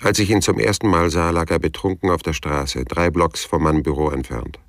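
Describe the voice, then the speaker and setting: Very deep, laconic detective narrator. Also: male voice, fairly even intonation, dry recording, clean studio sound, faint music behind. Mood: sober, reflective. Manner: measured, sober, laconic. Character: hard-boiled male private detective recounting his story. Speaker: narrator of a radio play. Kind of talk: first-person noir narration, radio crime drama. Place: dry studio.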